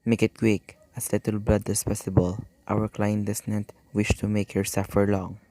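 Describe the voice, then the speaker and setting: A man in an amateur radio play acting a cold, businesslike crime boss who gives measured commands. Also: fairly low pitch, little pitch movement, vocal fry, warm tone. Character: cold, businesslike male hired-killing boss.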